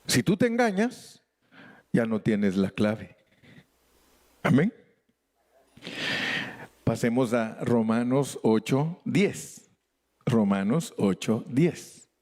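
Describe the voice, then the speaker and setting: A man preaching a sermon in Spanish as pastor, animated with playful asides, occasionally switching into English as a non-native speaker. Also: medium pitch, breathy edge. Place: church sanctuary.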